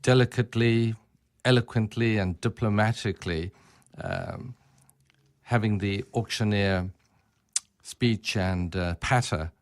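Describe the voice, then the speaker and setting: A man being interviewed on the radio, speaking formally with ornate, deliberate wording. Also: on the low side, no echo, clean studio sound. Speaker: interview guest, winery business owner.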